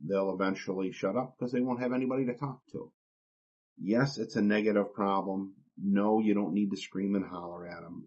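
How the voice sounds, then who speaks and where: A man hosting an instructional podcast, explaining things in a plain, deliberate, step-by-step way. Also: fairly low pitch, a little mellow. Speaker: podcast host. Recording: dull, lo-fi podcast recording.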